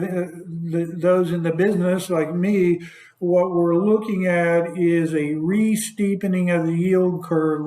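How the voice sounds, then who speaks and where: A man who works as an investment advisor gives a loose, unscripted market outlook on a conference call, full of fillers and restarts. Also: fairly high pitch. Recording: roomy echo.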